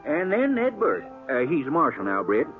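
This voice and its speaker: An actor playing a garrulous, easily huffy small-town cafe owner in an old-time American radio western, with a husky, folksy, rambling delivery. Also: male voice, a little high, huge pitch swings, moderate pace, over music. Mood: gossipy. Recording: dull, lo-fi old radio recording.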